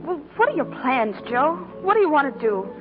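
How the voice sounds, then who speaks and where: Young, loyal working girl, plainspoken American, higher voice, 1940s radio drama on a muffled old broadcast. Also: sing-song, fairly quick, over music. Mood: pleading. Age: young adult.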